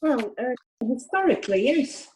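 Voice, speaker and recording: A woman's voice: high voice, sing-song, measured pace, dark tone, rough recording. An actress playing a polite female shop customer giving somewhat hesitant testimony in a period courtroom play. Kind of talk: theatrical courtroom play acting.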